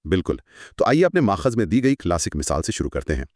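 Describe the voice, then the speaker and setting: A man's voice: low-pitched, big pitch swings, quick pace, darkish tone, no room sound, studio quality. A man co-hosting an educational discussion, explaining things conversationally and with emphasis. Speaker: co-host of an educational law discussion. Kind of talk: conversational explainer, podcast-style dialogue.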